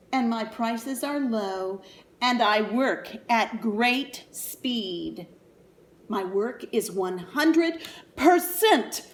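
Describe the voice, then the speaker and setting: A woman voicing an eager, slick male salesman from a storybook, pitching emphatically.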